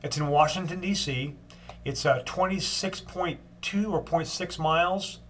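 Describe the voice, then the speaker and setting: An older man with a stuffed-up cold voice giving a casual daily video update, unhurried, with frequent ums and restarts. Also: a little high, fairly bright tone, a little hiss or hum. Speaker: long-distance walker giving a daily update.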